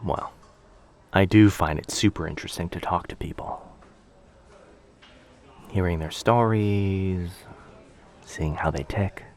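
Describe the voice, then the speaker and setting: A man acts out a shy, bookish young man in a boyfriend ASMR audio drama. His voice is low, with a creaky fry at its edges. He speaks softly and breathily, hesitant and gently nervous. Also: takes it slowly, pauses often. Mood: shy, reflective. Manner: hesitant, soft-spoken, breathy, self-effacing. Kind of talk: intimate boyfriend ASMR roleplay.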